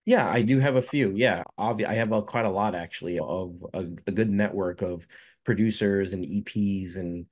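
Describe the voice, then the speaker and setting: Very deep-voiced man from Jamaica. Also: normal speed, darkish tone, highs cut off. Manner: rambling, many fillers and restarts. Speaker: filmmaker guest. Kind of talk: casual interview answers.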